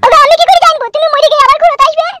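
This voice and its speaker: A woman's voice: very high-pitched, steady tone, racing, heavy background noise. A woman voicing a sly, pleading wife in an amateur comedy cartoon, wheedling and defensive. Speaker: cartoon voice actor.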